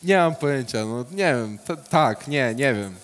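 A man's voice: medium pitch, animated, measured pace, in a large hall. A young man with a Polish accent answering questions on a studio stage, hesitant and swearing, on a headset mic. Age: young adult.

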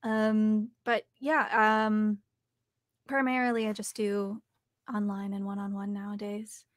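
A young adult woman speaking thoughtfully and haltingly as she answers interview questions. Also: mid-range voice, flat delivery, dry recording, studio quality. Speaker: actor and audiobook narrator, guest. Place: home recording studio, video call.

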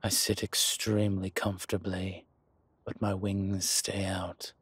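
Man playing a husky, slow-talking dragon mob boss. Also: sits low, completely flat delivery, a bit bright, dry recording, exaggerated voice. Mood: tender, proud. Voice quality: husky, breathy. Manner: slow, deliberate, intimate. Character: male dragon mob boss, commanding, teasing, protective. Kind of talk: intimate scripted roleplay audio drama.

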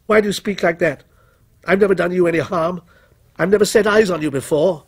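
Cornered male writer, voiced by a male narrator: storybook victim, agitated and desperate, highish creaky voice. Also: a little fast. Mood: alarmed. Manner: stammering, agitated. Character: frightened male writer, desperate, finally defiant.

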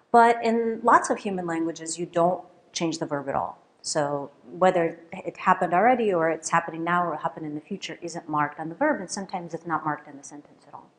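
A middle-aged woman, a native Russian speaker, giving a conference keynote at a lectern in a lecture hall, lively and often checking in with "right?". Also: on the low side. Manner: lively, questioning, with frequent "right?" and fillers. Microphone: lectern microphone.

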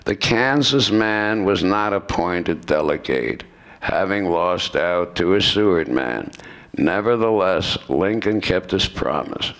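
A man in his seventies reading scripted narration aloud in a deliberate way, stumbling now and then, at a microphone in a lecture room. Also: low voice, a bit bright. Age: 70s. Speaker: narrator in a staged radio play by retired students. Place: lecture room with seated audience. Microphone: microphone on stand at lectern.

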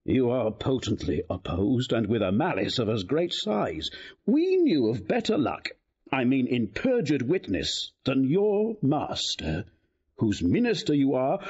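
Husky, commanding king, wildly expressive. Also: mid-range voice, unhurried, full character voice. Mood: stern warning. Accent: British. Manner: theatrical, emphatic. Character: hearty, commanding, volatile king in his prime. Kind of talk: Shakespearean radio drama acting. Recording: slightly dull audio.

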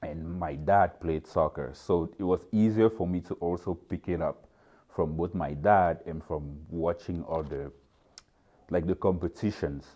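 A man in his thirties, originally from Cameroon, speaking in a relaxed, thoughtful way during a seated documentary interview in a large indoor lounge. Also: low-pitched, a little slow. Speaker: dorm parent.

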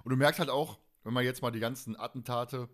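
A man's voice: medium pitch, fairly even intonation, brisk, a bit bright. A man chatting casually as a podcast co-host, retelling things in colloquial language.

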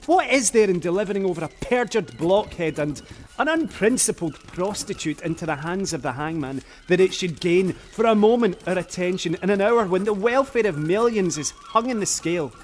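A man's voice: high-pitched, animated, moderate pace, slight background noise. An actor playing a passionate, defiant poet, eloquently voicing his letters in a radio drama. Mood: defiant, indignant. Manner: impassioned, eloquent.

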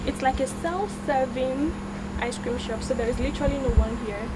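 A young woman in her twenties chatting casually while giving an outdoor campus walking tour, friendly and quick to laugh. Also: high voice, very noisy. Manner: chatty, laughs often, friendly advice to viewers. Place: outdoors on a street and campus grounds.

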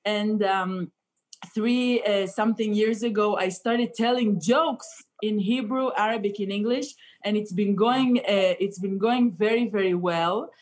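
A woman's voice: medium pitch, a little slow. A woman, a stand-up comedian chatting and joking on a video call with many fillers. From Israel. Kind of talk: comic chat on a video call. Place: indoor room. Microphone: laptop webcam on video call.

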